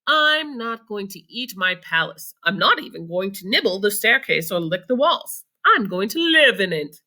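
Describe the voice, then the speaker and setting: A woman's voice: medium pitch, sing-song, moderate pace, bright, forceful tone, exaggerated voice. A woman voicing a haughty, stubborn, indignant prince in a children's storybook. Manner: indignant, emphatic. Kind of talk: children's storybook read-aloud.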